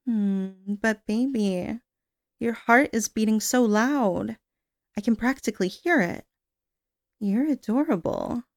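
A woman's voice: on the high side, slow, gravelly, dry recording. A woman playing a cooing, obsessive young lover. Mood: sweet creepy. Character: obsessive unstable young woman, clingy and menacing. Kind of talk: audio roleplay monologue.

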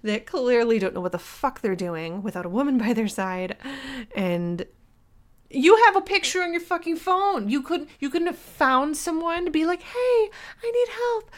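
A woman playing a bossy scolding girlfriend. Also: very high-pitched. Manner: scolding, mocking, swings between soft whispers and loud outbursts; frequent laughter while talking. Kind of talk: audio roleplay acting.

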